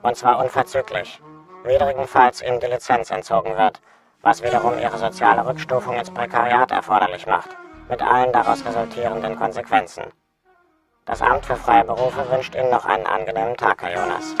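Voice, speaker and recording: An actor playing an officious city office caller in a radio play, delivering a formal notice in a pompous, stiffly bureaucratic manner. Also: male voice, medium pitch, a little fast, gravelly, music in the background, close to an everyday voice. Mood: formally stern.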